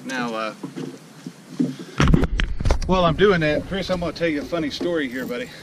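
A man, out of breath and excited, chatting folksily to the camera from a small boat on a lake as host of a fishing vlog. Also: a little high, normal speed. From Illinois, USA. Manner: folksy and excited, rambling with many fillers. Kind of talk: casual outdoor vlog talk. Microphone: action camera mounted in the boat. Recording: loud wind and water noise outdoors.

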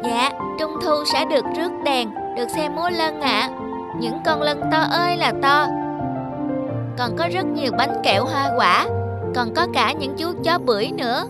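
A woman voicing a small child devoted to their father in an animated tale, childlike and eager. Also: sits high, normal speed, lightly acted. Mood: excited. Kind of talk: animated moral-tale voice acting. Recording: music under the voice.

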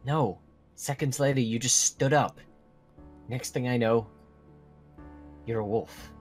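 A man's voice: mid-range voice, slow, close to an everyday voice. A young man playing a protective, earnest teenage werewolf friend in a Minecraft roleplay drama, speaking in broken, dramatic phrases. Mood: tense recounting. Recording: music behind the voice.

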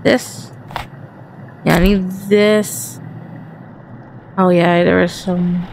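A woman with a Southern accent and a raspy voice chats to the ghosts while playing a horror game. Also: lowish voice, flat delivery, extremely slow, darkish tone, slight background noise, poor audio. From Texas. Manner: talks to the game and its ghosts, jumpy and chatty. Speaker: gaming channel host. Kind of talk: horror game let's play commentary.

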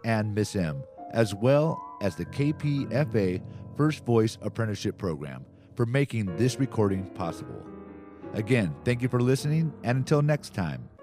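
A male radio announcer reads scripted credits. His voice sits fairly low, with music clearly playing behind him. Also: big pitch swings, moderate pace.

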